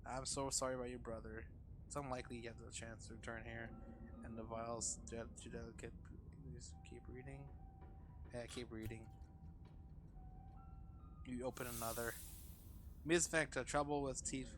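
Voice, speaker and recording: A man's voice: mid-range voice, little pitch movement, moderate pace, pauses often, music in the background. A man streaming a game, reading its text aloud with casual reactions and often stumbling over the words.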